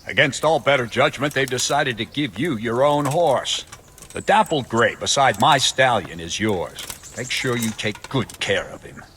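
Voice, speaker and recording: An actor playing a stern, disdainful captain of the guard in a medieval video game, curt and commanding. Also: male voice, medium pitch, animated, measured pace, a bit bright, a little hiss or hum.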